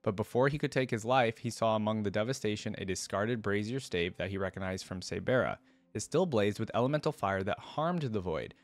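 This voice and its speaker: Low-voiced man, casual reaction host. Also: animated, fairly quick, dry recording. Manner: casual, thinks aloud, informal asides. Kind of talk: reading lore aloud with casual commentary.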